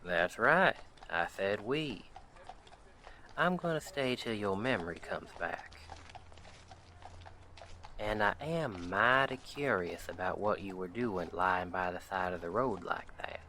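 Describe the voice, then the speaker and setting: A man acts out a friendly frontier sheriff for a western roleplay audio. His voice is fairly low and crisp-edged, and he speaks very slowly in folksy cowboy phrasing. He comes across as protective, talking directly to the listener over faint background noise. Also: expressive, pushed and bright, full character voice. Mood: easygoing.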